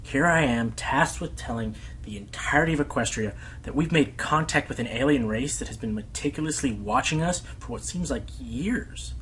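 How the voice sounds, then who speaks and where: A man's voice: medium pitch, moderate pace, a bit bright, a little hiss or hum, close to an everyday voice, low-quality recording. A man voicing a nervous, self-doubting young pony broadcaster in an amateur radio play, rambling anxiously. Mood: anxious, fretting. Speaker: voice actor in an audio drama. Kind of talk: fan radio play acting.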